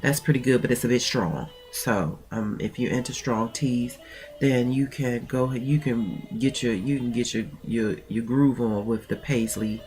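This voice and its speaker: A woman's voice: extremely low pitch, measured pace, darkish tone, soft background music. A woman mentoring coach with a stuffy nose, talking in a chatty, rambling way with many fillers and reading aloud from her own notes. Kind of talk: casual talk and reading aloud.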